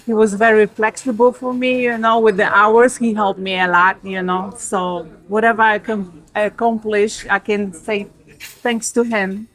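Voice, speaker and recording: A woman's voice: medium pitch, fairly bright tone, low-quality recording. A woman from Poland with a Polish accent, a diner employee speaking gratefully in short, simple phrases. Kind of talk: casual interview.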